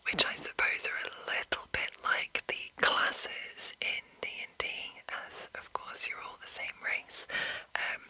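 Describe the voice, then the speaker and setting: A woman whispering in a soft, breathy, unvoiced voice as an ASMR host, rambling gently and reading passages aloud. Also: low-quality recording. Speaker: ASMR host introducing a roleplaying game.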